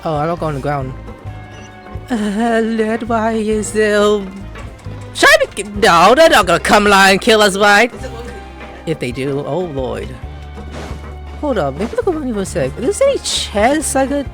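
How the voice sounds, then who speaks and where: A woman's voice: medium pitch, sing-song, unhurried, pauses often, a bit bright. A woman hosting a gameplay video with casual, slangy, rambling commentary, reacting as she plays. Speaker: gaming video host. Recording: game music behind voice.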